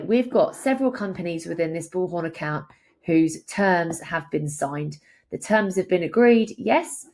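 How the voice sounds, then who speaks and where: Female tutorial presenter, lower-pitched with noticeable vocal fry, instructional step-by-step walkthrough delivery. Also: darkish tone. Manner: step-by-step explaining.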